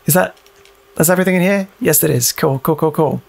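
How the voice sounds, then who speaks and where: A man's voice: a little high, fairly quick, vocal fry, a little mellow, a little hiss or hum. A young British man giving casual, playful gameplay commentary, sweary and often repeating phrases in a sing-song way. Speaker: let's play host.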